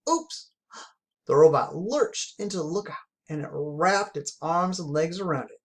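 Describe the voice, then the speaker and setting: Casting: children's storybook narrator, medium pitch, expressive and emphatic read-aloud delivery. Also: moderate pace, husky. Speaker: story reader.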